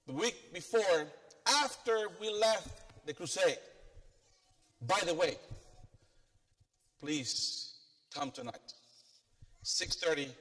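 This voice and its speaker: A middle-aged man with a Filipino accent preaches an animated sermon, pacing the stage and putting questions to the congregation. His voice sits high for a man. It rings out with the long reverb of a church sanctuary, picked up by a stand mic he keeps moving away from. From Philippines.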